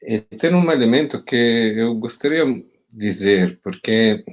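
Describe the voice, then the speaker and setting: A man's voice: medium pitch, lively intonation, a little slow, lo-fi sound. A middle-aged man from Italy speaking Portuguese with an Italian accent, giving a hesitant academic talk over a video call with many fillers and restarts. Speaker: guest professor.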